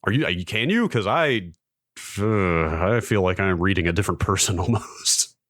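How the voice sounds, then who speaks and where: A man's voice: low voice, animated, normal speed, a bit bright, no echo, studio quality. A middle-aged American man co-hosting a podcast in casual chat, often chuckling as he talks. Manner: chuckles often while talking. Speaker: podcast co-host.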